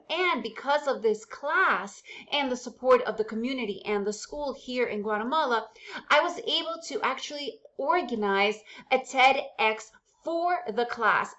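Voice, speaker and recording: A woman's voice: on the high side, a bit bright, muffled recording. A woman who grew up in the United States after a childhood in the Soviet Union, whose first language is Russian, hosting a casual, upbeat vlog and chatting straight to her viewers. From Soviet Union, raised in the United States. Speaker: day-trading channel host. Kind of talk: casual direct-to-camera vlog.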